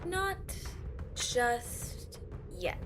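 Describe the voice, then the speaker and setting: A woman's voice: on the high side, very animated, very slow, a bit bright, soft background music, exaggerated voice. A woman playing a haughty, ancient siren empress in a fantasy audio drama, with a regal, disdainful delivery. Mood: cool, commanding. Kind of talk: fantasy audio roleplay acting.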